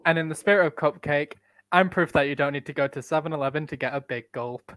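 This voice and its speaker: Male co-host, brash bawdy jokester, lively slightly high voice, recorded dry and close. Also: fast, no echo. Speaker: podcast co-host. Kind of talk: casual podcast chat.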